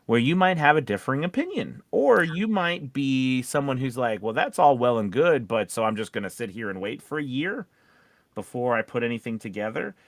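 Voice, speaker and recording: A man speaks as a podcast host in a casual discussion. His pitch sits in the middle for a man, and he rambles conversationally, often turning to questions. The sound is dry, with very little room on it. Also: expressive, normal speed, no room sound. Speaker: podcast host and voice-over coach.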